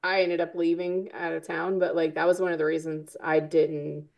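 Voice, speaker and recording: A woman joining a relaxed chat, mostly agreeing and often stopping to restart her sentences. Her voice sits on the low side for a woman. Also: moderate pace, vocal fry. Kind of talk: casual chat.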